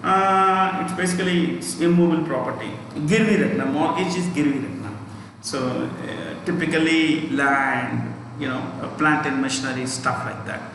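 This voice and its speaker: Echoey Indian man teaching an exam class. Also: a little high. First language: not Hindi. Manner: repeats key phrases slowly, spells words out, checks with "okay?". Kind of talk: live exam lesson, explaining and dictating. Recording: echoey reverberant room, distant mic, faint noise.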